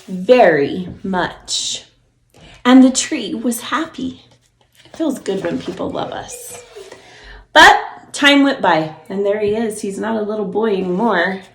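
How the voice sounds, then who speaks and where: A woman's voice: medium pitch, slow pace, echoey room. A woman preschool teacher reading a storybook aloud to young children, encouraging and explaining things simply.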